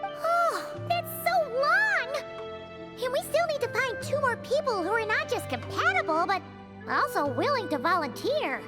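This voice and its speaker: A squeaky, excitable fairy girl chatters in a very high, wildly swooping voice. She is a small floating game companion who gets worked up fast and calls herself by name. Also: over music, heavily acted. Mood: whiny. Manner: excitable, talks about herself in third person. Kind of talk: video game cutscene voice acting.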